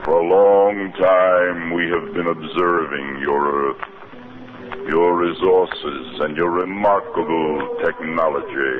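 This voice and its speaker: An actor playing a cold, domineering alien from Venus in a 1950s radio drama, commanding and deliberate. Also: male voice, low voice, fairly even intonation. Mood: coldly superior. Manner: commanding, slow, deliberate, hypnotic. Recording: muffled, noisy 1950s radio recording.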